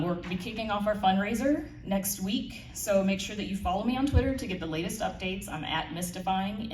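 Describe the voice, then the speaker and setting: Low-voiced woman reading in an echoey room. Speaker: author reading her audio drama script. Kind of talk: scripted narration, live reading. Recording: echoey room, distant mic, faint noise.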